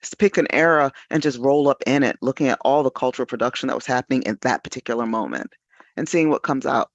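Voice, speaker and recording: A man's voice: mid-range voice, quick pace, creaky, no echo. Male panelist, mid-range, casual and reflective, leans on repetition; muffled, very dry sound. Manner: emphatic repetition of phrases. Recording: dull, lo-fi, dry audio.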